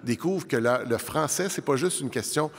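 A middle-aged man argues as a debate panelist, animated and conversational, with quick asides. His voice sits at a middling pitch for a man. It carries the long reverb of a large studio hall. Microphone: desk microphone.

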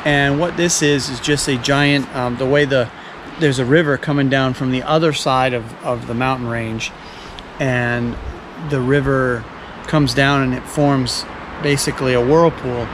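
A man's voice: mid-range voice, steady tone, a little slow, noisy background. A man in his forties narrating a casual travel vlog outdoors on a trail, explaining things easygoingly with fillers and restarts, recorded on a handheld camera. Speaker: travel vlogger. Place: outdoors by a forest trail and parking lot. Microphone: camera on a handheld gimbal.

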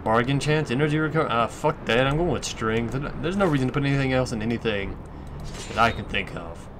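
A man's voice: medium pitch, brisk, background noise. A man giving casual, crude, sweary gameplay commentary, musing aloud as he goes. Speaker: let's play commentator.

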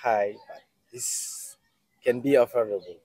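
A man's voice: medium pitch, fairly even intonation, unhurried, warm tone, no room sound, rough recording. A young man from Guinea, answering a street interview in an earnest way, working his way through comparisons, on a city street into a handheld mic. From Guinea, West Africa. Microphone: handheld mic held toward him.

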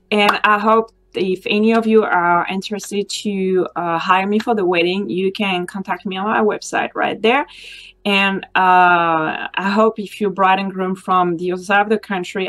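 A woman's voice: medium pitch, fairly even intonation, a little slow, a bit bright. A woman in her thirties or forties with a French accent, a native French speaker, talking informally and earnestly to camera as a wedding photographer giving advice. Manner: conversational, earnest, non-native English phrasing. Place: small home office, indoors.

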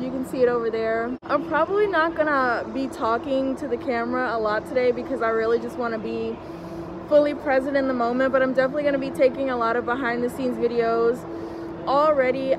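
A woman's voice: high-pitched, fairly even intonation, brisk, muffled recording, background noise. A young woman talking casually to the camera on a solo trip. She is chatty and enthusiastic, with a lot of ums and likes. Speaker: travel vlogger. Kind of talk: casual travel vlog to camera. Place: indoors in a hotel room and a large mall, phone held close. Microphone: handheld camera at arm's length.

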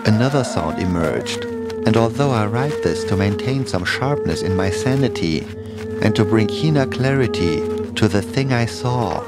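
A man's voice: fairly low pitch, animated, normal speed. A man reading a scripted first-person horror story as its narrator. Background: music behind the voice.

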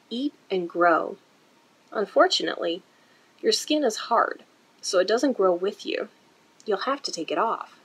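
A woman reading a children's picture book aloud in an expressive, deliberate way for young listeners, recorded indoors. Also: a little high, breathy edge, low-quality recording. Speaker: children's story-time reader for a museum. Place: indoor room.

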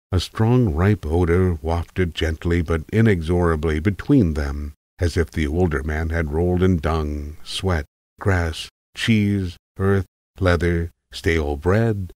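A man narrating a literary tale in a measured, wry, precise manner, as a voice actor reading from a script. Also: low voice, a little mellow, dry recording.

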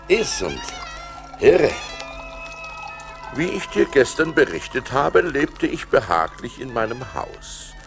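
An actor playing a rich seafaring merchant who recounts his adventures as an expressive storyteller in a radio play. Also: medium pitch, full character voice. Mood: eager. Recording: vinyl record transfer with music behind.

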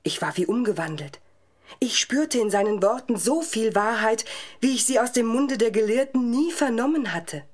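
A woman's voice: on the high side, animated, normal speed. An actress playing a devout young woman in an audio drama, speaking earnestly and fervently of her faith. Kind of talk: German audio drama acting.

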